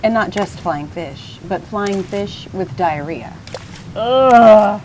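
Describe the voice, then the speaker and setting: A woman reading a picture book aloud as an expressive storyteller. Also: on the low side, takes it slowly, slight background noise, low-quality recording.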